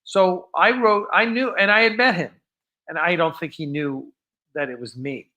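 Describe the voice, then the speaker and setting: A man hosts a live fan stream in a bright, forceful voice that sits a little high. He chats informally and rambles as he takes questions from viewers. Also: normal speed. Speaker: host of a fan film livestream. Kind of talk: casual live chat, answering viewer questions.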